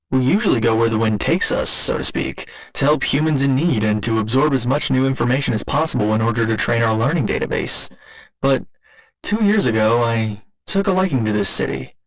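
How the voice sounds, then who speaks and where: A man playing a gentle, earnest robot boyfriend in an ASMR roleplay, speaking in formal, measured, precise sentences. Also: mid-range voice, rough recording. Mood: earnest, tender. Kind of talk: ASMR roleplay, scripted intimate monologue.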